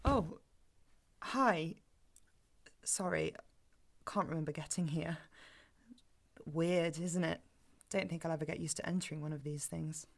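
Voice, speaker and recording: Woman playing awkward guarded date, low voice, slow, bit of fry, hesitant short answers, natural radio drama. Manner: hesitant, understated, clipped replies. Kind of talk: naturalistic audio drama dialogue.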